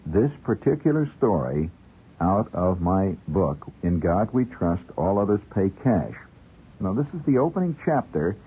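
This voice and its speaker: Deep husky American radio storyteller, muffled broadcast. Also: male voice. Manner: wry, theatrical, savoring ornate wording. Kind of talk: conversational radio storytelling, reading own humorous story. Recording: muffled narrow-band old radio broadcast.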